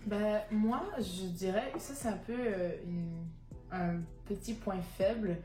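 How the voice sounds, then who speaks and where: A young woman from Montreal speaks reflectively and a little hesitantly, often filling pauses with "genre". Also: mid-range voice. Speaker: panel guest. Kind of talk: casual discussion. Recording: echoey room, soft music.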